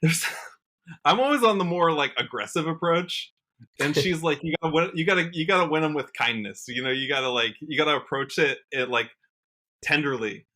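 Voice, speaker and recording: A man's voice: on the high side, fairly quick, pushed and bright. An American man chatting casually as a guest on a podcast, earnest and enthusiastic in the way he talks. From United States, Washington state. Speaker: podcast guest, country musician.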